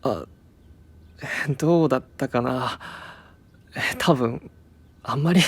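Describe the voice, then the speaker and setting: A man playing a weary, gentle young knight who doubts himself, with subdued, hesitant delivery in a fantasy audio drama. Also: mid-range voice, slow, slightly rough. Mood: troubled, hesitant.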